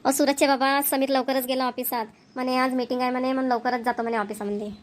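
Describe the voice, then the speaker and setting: A woman's voice: high voice, little pitch movement, fast talker, lightly acted. A woman voicing a homely older mother-in-law in a family cartoon, chatty and good-humoured, with a Vidarbha Marathi accent. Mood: matter-of-fact. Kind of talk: amateur family cartoon voice acting.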